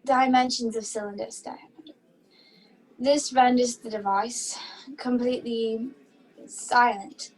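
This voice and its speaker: A woman's voice: fairly high pitch, fairly even intonation, unhurried, long pauses, natural voice, rough recording. A woman acting a proud, dutiful memory performer who recites facts formally in a stage-style radio play. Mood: weary, earnest.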